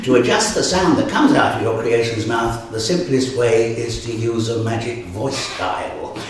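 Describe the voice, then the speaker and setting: A man plays an eccentric old wizard, muttering to himself in a theatrical, comic fantasy manner. He takes his time, swinging widely in pitch between glee and grumbling. The sound is distant and noisy in a very echoey room. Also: animated, measured pace, natural voice. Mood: musing.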